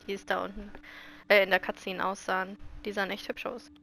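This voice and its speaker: A woman's voice: medium pitch, little pitch movement, fast, creaky, a bit bright, soft background music, poor audio. A German woman giving casual reaction commentary on a video game.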